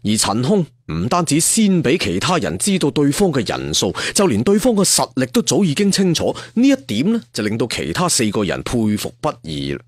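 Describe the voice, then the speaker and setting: Male storyteller, lively dramatic narration, slightly husky tone, music underneath. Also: medium pitch, brisk, few pauses, no room sound, clean studio sound. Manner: expressive, dramatic delivery. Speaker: audiobook storyteller. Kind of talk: dramatic scripted martial-arts narration.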